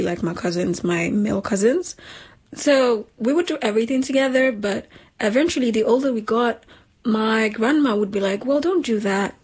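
A young woman from Nigeria, co-hosting a relaxed chat with a slightly husky voice. She talks in a lively, slangy way, her pitch sitting at a middling height. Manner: animated, slangy, laughing. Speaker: podcast co-host. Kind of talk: casual chat.

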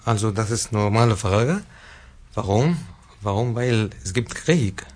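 Deep, slow-speaking man with an Arabic accent. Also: lively intonation. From Syria. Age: 30s. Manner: simple, halting non-native phrasing. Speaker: interview guest, musician. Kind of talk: personal talk in a radio interview.

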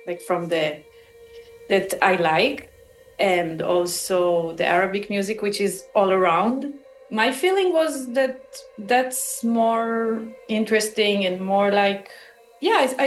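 A woman's voice: medium pitch, echoey room, low-quality recording. A woman with an Israeli accent answering interview questions as a musician, reflective and searching for words as they go. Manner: halting, searching for words, pausing mid-phrase. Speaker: musician and composer interviewed about their work.

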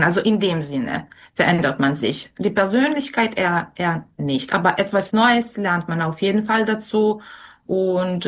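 A young woman whose first language is Serbian, answering interview questions in a hesitant way with many fillers and restarts. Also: lowish voice.

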